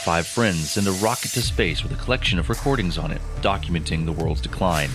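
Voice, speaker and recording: A man's voice: sits low, brisk. A man hosting a podcast, reading a scripted introduction to a featured show. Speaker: podcast host. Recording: music bed behind.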